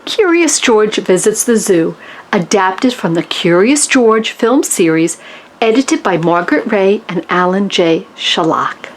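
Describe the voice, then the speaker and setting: A woman reading a children's storybook aloud in an expressive way, chatting to the characters and listeners as she goes. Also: mid-range voice, measured pace, a little hiss or hum. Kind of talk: animated read-aloud for young children.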